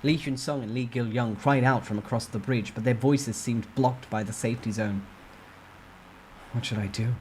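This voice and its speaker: A man's voice: mid-range voice, fairly even intonation, moderate pace, a little mellow, a little hiss or hum, poor audio. A man reading a fantasy novel aloud as a dramatic, suspenseful first-person narrator. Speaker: amateur story narrator.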